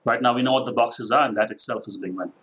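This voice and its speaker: A man in his forties from India with a blended Indian and international accent, speaking as a company president in a podcast interview, measured and thoughtful with frequent fillers. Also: mid-range voice, fairly bright tone, husky. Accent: Indian, with a mix of international influences.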